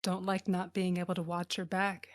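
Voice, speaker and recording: A woman's voice: fairly low pitch, level tone, moderate pace, natural voice. A woman playing a tough, protective pilot and loyal sister in a sci-fi audio drama, speaking in a blunt, clipped way.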